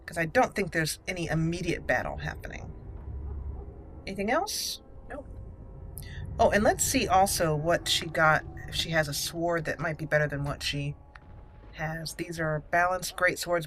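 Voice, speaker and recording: A woman doing casual roleplay game commentary as a channel host, thinking aloud deliberately and reading out menu items. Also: low voice, expressive, fairly bright tone. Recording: noticeable background noise and game ambience.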